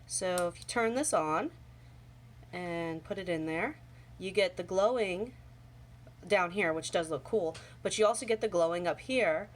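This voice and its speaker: A young woman with a slightly hoarse voice from a cold, casually explaining origami folds step by step at home, with many ums. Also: on the low side, unhurried, a little hiss or hum. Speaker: origami tutorial host. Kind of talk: casual how-to demonstration. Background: faint home sounds, toddler playing.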